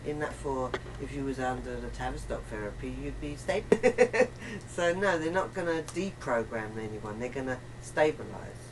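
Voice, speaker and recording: A woman's voice: extremely low pitch, expressive, normal speed. A woman chatting casually in a rambling, emphatic, colloquial way, often acting out bits of reported dialogue. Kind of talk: casual chat. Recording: distant mic, faint room noise.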